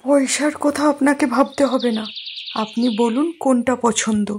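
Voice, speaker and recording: A woman playing a kind, grateful, generous village man in a cartoon, speaking politely and gently. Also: high voice, steady tone, normal speed, natural voice. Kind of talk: cartoon moral-story dialogue. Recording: faint noise with birdsong.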